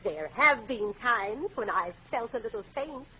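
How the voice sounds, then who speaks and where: A woman playing a droll, unflappable aunt in a 1940s radio comedy, speaking deliberately with mock-learned words. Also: a little high, animated. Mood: droll, deadpan. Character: droll, unflappable aunt with high-flown talk. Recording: muffled old radio recording, noisy studio audience.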